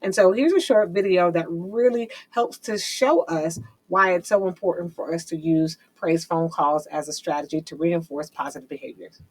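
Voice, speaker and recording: Husky woman presenting a lesson. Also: fairly low pitch, moderate pace, hardly any pauses, a little mellow. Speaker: presenter of a teacher training lesson. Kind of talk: instructional presentation.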